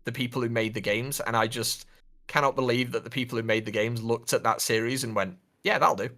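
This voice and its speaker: Bright-voiced man chatting casually. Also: mid-range voice, steady tone, brisk. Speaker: podcast co-host. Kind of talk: casual pop culture chat.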